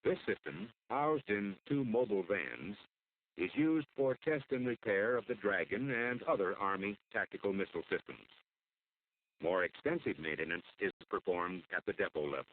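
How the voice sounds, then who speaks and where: Low-voiced American training film narrator, male, tinny sound. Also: expressive, moderate pace. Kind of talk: scripted instructional military film narration. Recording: muffled phone-like old film soundtrack.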